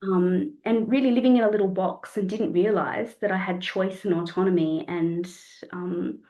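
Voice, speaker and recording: Croaky-voiced woman in her forties. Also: mid-range voice, steady tone, normal speed, mellow, highs cut off. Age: 40s. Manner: reflective, searching for words, repeats phrases. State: slightly croaky voice. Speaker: guest, counsellor and somatic sexologist. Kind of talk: casual podcast conversation.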